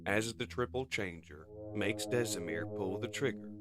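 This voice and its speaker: A man's voice: on the low side, normal speed. A man narrating and commenting on a comic as its reader and reviewer.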